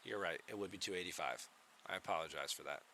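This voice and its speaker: A soft-spoken man streams a game from his home setup and chats easily with viewers. His voice sits a little low in pitch but comes across very bright and forward. He talks in a relaxed, chatty way. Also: fast talker, slight background noise. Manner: relaxed, chatty, answers chat questions. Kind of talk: casual live-stream chat with viewers.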